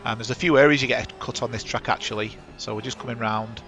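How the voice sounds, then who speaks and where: A man's voice: mid-range voice, fairly even intonation, fast, a bit bright, soft background music. A chatty man hosting a sim racing stream on a headset, talking over the action and correcting himself. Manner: chatty, rambling, self-correcting. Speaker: sim racing broadcast host. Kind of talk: live race commentary.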